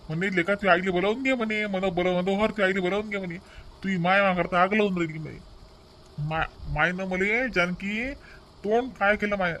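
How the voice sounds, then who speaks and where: A man as a high-voiced, helpless plaintive son. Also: fairly bright tone, slight background noise, poor audio. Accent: Varhadi Marathi. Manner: plaintive, repetitive. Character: helpless, ashamed adult son lamenting his family's quarrels. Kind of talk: cartoon family drama voice acting.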